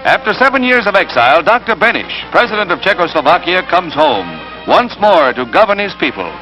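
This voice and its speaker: High-pitched American 1940s newsreel man. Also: very animated, fairly quick, muffled recording. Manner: dramatic, emphatic delivery. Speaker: newsreel narrator. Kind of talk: 1940s newsreel narration, scripted. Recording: old 1940s newsreel soundtrack, loud music. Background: loud orchestral newsreel score.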